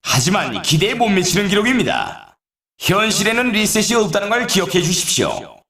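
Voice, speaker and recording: An actor playing an excitable, teasing male snowboard race announcer in a video game, with polite, formal phrasing and playful sarcasm. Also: sits high, brisk, vocal fry, reverberant, distant mic, heavily acted. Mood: teasing, critical. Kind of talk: scripted game sports commentary.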